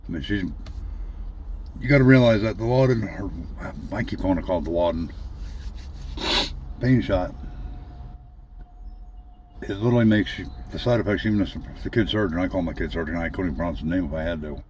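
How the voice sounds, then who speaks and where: A man giving a candid, casual personal update in a plain-spoken, halting, mildly profane way. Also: deep voice, a little mellow. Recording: dull lo-fi audio, faint background noise.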